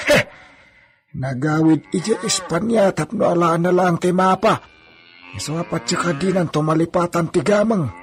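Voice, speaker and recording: A man's voice: sits high, normal speed, close to an everyday voice. An actor playing a bossy, scornful old hacienda foreman in an Ilocano radio drama. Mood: scornful, scheming.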